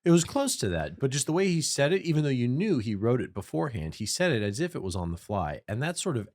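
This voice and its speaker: A young man on a comedy podcast, riffing in a mock-earnest, deadpan way. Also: medium pitch, fast.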